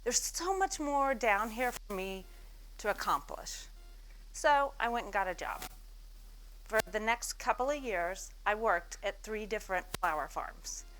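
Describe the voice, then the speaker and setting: An American woman in her forties tells her business story from a stage mic at a moderate, middle pitch. She swings her pitch and loudness widely as she acts out the people she quotes, and her self-mocking jokes keep the talk lively. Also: measured pace, a little hiss or hum, poor audio. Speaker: speaker giving a business talk. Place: event stage before an audience.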